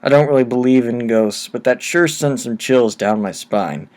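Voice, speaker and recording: A man reading first-person horror stories aloud in a steady, low-key storytelling manner, recorded on a cheap microphone. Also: moderate pace. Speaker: narrator.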